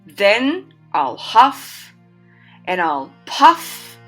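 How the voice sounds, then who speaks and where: A woman's voice: mid-range voice, very animated, very slow, faint music behind, heavily acted. A woman voicing a big, bad, greedy male wolf who bellows threats. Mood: demanding. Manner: loud, blustering threats.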